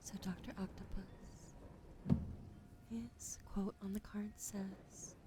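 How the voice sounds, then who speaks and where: A woman hosting a livestream, doing an ASMR card reading in a soft, gentle whisper. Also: medium pitch, level tone, slow. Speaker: livestream host.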